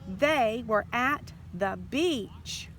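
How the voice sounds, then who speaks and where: A woman reading a children's storybook aloud in an expressive, deliberate narration. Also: a little high. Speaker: storybook reader. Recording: faint background noise.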